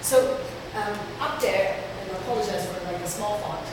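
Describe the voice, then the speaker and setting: A woman's voice: medium pitch, normal speed. A woman from Hong Kong giving a conference talk in a chatty way, with many fillers and restarts. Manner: conversational, filler-heavy presenting. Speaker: conference speaker. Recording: echoey hall from far off, with noise.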